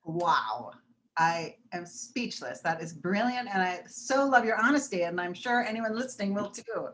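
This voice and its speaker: A woman hosting an interview, effusive and quick to laugh. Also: mid-range voice, expressive, a little hiss or hum. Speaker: interviewer, host. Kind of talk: interview chat.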